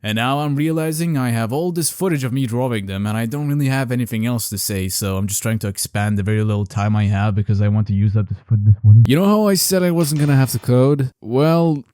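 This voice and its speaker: A man's voice: medium pitch, quick pace, few pauses, warm tone, clear, resonant tone, no room sound, clean studio sound. Casual, self-deprecating man narrating. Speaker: video creator narrating a devlog.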